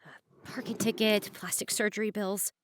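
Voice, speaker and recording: A woman's voice: mid-range voice, steady tone, moderate pace, background noise, natural voice. A woman playing a distraught, suspicious, hot-tempered young stepdaughter in an audio drama, emotionally raw and confrontational. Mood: worried, frustrated.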